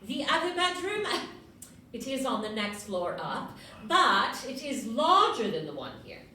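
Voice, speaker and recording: A woman acting a fussy, firm Victorian London landlady in a stage audio play, with an emphatic, brisk manner. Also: a little high, sing-song, pushed and bright, exaggerated voice. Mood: businesslike. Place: live performance in a club room. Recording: echoey room, distant mic, faint noise.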